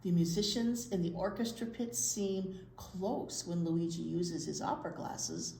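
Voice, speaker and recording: American lady doing kids read aloud, super expressive, lowish voice, bit of room echo. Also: gravelly. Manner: expressive, acts out the story with drawn-out words and asides. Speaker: children's librarian and storytime reader. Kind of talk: read-aloud storytime for young children. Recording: roomy echo, faint background noise.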